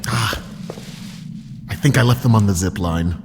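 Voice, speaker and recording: An actor playing a careless island doctor-vet, blunt and offhand, who keeps giggling at bad news. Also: male voice, on the low side, measured pace, vocal fry. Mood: giggly. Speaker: physician and veterinarian. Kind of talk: comedic audio drama acting. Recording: reverberant room with music.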